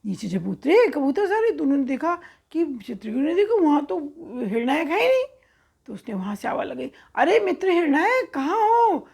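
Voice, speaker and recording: A woman's voice: high voice, very animated, brisk, creaky, darkish tone. A woman storyteller narrating for children in a conversational, explanatory way, checking in with her listeners.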